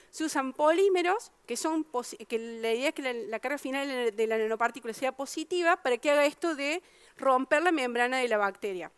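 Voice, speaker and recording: A woman with an Argentine Spanish accent gives a public science talk. Her voice is smooth and resonant and sits fairly high, and the sound is dry and close. She explains patiently, full of fillers and self-corrections. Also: fairly bright tone, dry recording. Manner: explanatory, many fillers and restarts.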